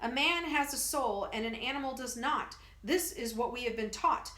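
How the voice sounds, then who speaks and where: Brief: a woman doing a grandiose, booming medicine-show barker, bright and high, echoey room. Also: normal speed. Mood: solemn. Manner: grandiose, booming showman delivery. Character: bombastic male traveling medicine-show huckster.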